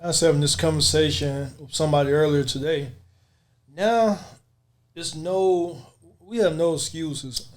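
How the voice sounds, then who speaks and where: A man's voice: fairly high pitch, unhurried. A man chatting informally as a podcast panelist, encouraging and slangy. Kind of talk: casual chat.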